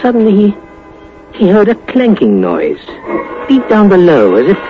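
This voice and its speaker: High-voiced male radio narrator over music. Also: wildly swooping pitch. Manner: dramatic, suspenseful storytelling with pauses. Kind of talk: scripted 1950s radio storytelling. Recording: muffled 1950s radio recording.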